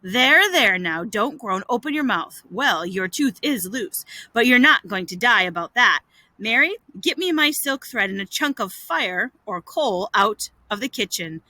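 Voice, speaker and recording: A woman voicing a storybook aunt, an older guardian who is brisk, scolding and soft-hearted, with emphatic fussing. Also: a little high, animated, no room sound, exaggerated voice, rough recording. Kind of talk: storybook character voice.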